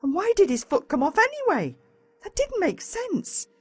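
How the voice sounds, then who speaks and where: An Australian woman reads a children's audiobook with dramatic, expressive delivery. Her pitch swings widely from line to line. The recording is close and very dry. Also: moderate pace, dry recording. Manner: dramatic, animated storytelling. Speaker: audiobook narrator. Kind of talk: expressive children's story narration in first person.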